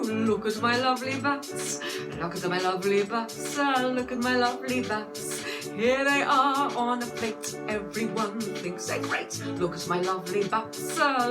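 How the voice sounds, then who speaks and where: A woman cabaret entertainer with a playfully genteel, rambling manner, performing comic music-hall songs and chatty patter. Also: a little high. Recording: music mixed loudly with the voice. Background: loud musical accompaniment with guitar.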